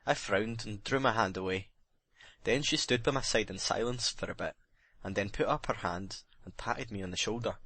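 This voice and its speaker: A man's voice: on the low side, moderate pace, pushed and bright, husky, no echo, exaggerated voice. A man playing a bitter, remorseful sailor making a brooding, emphatic confession in a dramatic audiobook reading.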